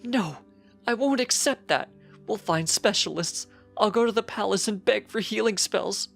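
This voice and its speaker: A man's voice: sits high, moderate pace, vocal fry, fairly bright tone, soft background music, voice pushed up. A man voicing an anxious, devoted mother pony with an emotional, pleading way of speaking in a story reading. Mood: desperate, pleading.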